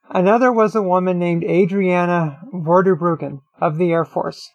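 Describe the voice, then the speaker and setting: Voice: mid-range voice, dry recording, rough recording. A narrator reading a written opinion article aloud in a scripted style. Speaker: reader of an online article.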